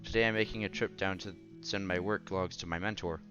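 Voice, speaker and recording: A man's voice: low voice, steady tone, a little fast, fairly bright tone, lo-fi sound, soft background music. A man playing a modest, timid young science student with a hesitant, stammering delivery. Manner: hesitant, stammering, self-effacing.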